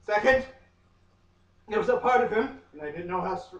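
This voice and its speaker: A man giving an overwrought, melodramatic comic reading of a novel with lingering dramatic pauses. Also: high-pitched, animated, fairly bright tone. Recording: echoey room, far from mic, muffled.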